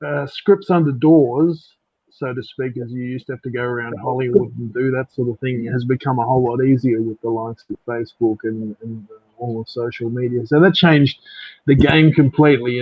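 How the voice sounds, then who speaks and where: A man with an Australian accent, a writer guesting on a podcast, explaining his story in a measured, casual way. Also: medium pitch, steady tone, no room sound. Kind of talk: casual interview. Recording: dry, dull lo-fi internet connection.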